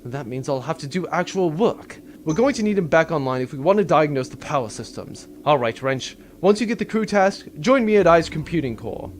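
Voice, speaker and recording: A man's voice: fairly high pitch, expressive, brisk, hardly any pauses, slight background noise, close to an everyday voice. A man playing a casual, flippant starship captain in a comic audio drama, speaking in a conversational way. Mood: wry. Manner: flippant, conversational, hesitant at times.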